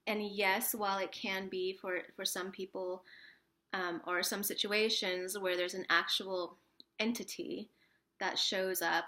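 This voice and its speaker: A woman with a cold, sniffly and a little rough, chatting casually to camera as a spiritual vlogger, rambling with lots of fillers and restarts. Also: mid-range voice, sharp tone.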